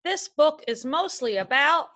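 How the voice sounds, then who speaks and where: A woman's voice: high-pitched, lively intonation, measured pace, bright, forceful tone. A woman teaching a remote class of young children, reading a picture book aloud and giving patient, step-by-step instructions. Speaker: elementary school teacher.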